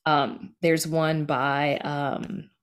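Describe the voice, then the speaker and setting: A woman's voice: low-pitched, flat delivery, extremely slow, smooth. A woman talking casually as an interview guest, conversational and informal, acting out bits of imagined dialogue. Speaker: podcast creator and horror writer being interviewed.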